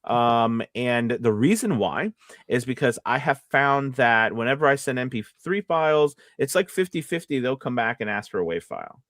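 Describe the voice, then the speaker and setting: A man hosting a casual live teaching chat on a close mic, rambling a little as he thinks out loud and checks in with his listeners. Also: mid-range voice, expressive, normal speed, dry recording. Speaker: host and voice acting coach.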